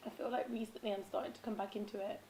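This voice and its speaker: A woman's voice, hoarse and raspy from illness, talking in a loose, wandering way with plenty of ums. Her pitch sits in the middle of a woman's range. A faint hiss of noise runs underneath. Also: steady tone, racing, mellow, poor audio. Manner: rambling, with many ums and self-corrections. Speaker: vlogger. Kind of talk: casual spiritual vlog talk.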